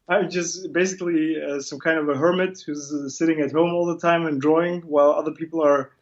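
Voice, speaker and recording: A man's voice: on the high side, fairly even intonation, fairly quick. A man, a comic illustrator chatting as an interview guest over a video call, joking about himself. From Germany. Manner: self-deprecating, joking, with restarts. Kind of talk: casual interview chat. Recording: muffled video call audio.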